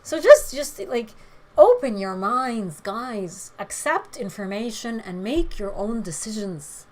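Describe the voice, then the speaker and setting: A woman with a hoarse voice, chatting frankly with viewers during a livestream and giving her opinions with many pauses. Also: fairly high pitch, sing-song, darkish tone, poor audio. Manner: frank, opinionated, hesitant fillers. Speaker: livestream host. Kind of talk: casual chat answering viewer comments.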